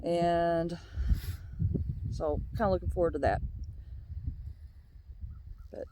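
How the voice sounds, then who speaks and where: An older woman gives a casual vlog update outdoors. She talks in a folksy, halting, unhurried way, and her voice is a touch worn by a bronchial bug she is getting over. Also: on the low side, steady tone, noisy background. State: recovering from a bronchial illness, voice slightly low. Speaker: vlog co-host. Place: outdoors on a hilltop.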